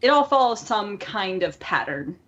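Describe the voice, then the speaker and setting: A woman with a medium-pitched voice co-hosts a casual podcast. She speaks informally and freely offers her opinions in a conversational way. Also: sing-song, normal speed, highs cut off, crowd noise. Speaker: podcast co-host. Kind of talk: casual chat.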